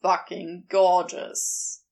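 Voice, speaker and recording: A woman voices a gruff, irritable man in an audiobook, dropped low with some creak. He is offhand and slangy, brushing people off in short, clipped phrases. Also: flat delivery, very slow, darkish tone, full character voice. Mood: absorbed, satisfied. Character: gruff, casual, irritable, sweary man, dismissive. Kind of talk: audiobook character voice.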